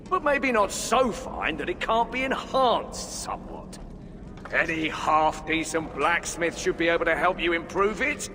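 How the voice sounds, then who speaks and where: An actor playing a genial, wordy dwarf smith in a video game, courteous and flowery. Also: male voice, a little high. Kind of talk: video game cutscene acting. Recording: reverberant, clearly noisy ambience.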